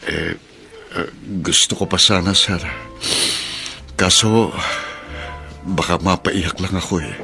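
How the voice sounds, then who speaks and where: A humble working-class father in a Filipino radio drama. His voice sits very low, and he speaks earnestly and respectfully, with tender hesitation. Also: steady tone, slow pace, vocal fry, long pauses, bright, forceful tone, faint music behind, full character voice. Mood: sad, respectful.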